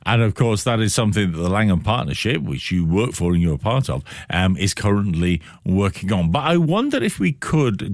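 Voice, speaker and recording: A middle-aged man hosts a radio interview in a fairly low voice that moves with a very lively melody. He asks long, reflective questions with deliberate emphasis. The sound is dry, with little room echo.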